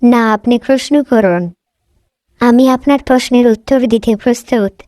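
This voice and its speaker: A woman voicing a brave, wise young daughter who speaks calmly and earnestly. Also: a little high, normal speed, no room sound, clean studio sound, natural voice. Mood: resolute. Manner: calm, earnest, resolute.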